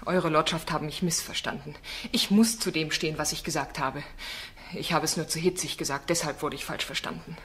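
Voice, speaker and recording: A woman's voice: sits low, fairly even intonation, a little fast, fairly bright tone, slight background noise. An actress playing a bold, insistent female defence barrister in a German crime radio play. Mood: defiant. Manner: pointed, insistent cross-examining.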